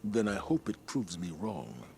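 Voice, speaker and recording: A man playing a stern, skeptical, dutiful military commander in a video game, speaking in a grave, formal, measured way. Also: deep voice, big pitch swings, a little mellow, heavily acted.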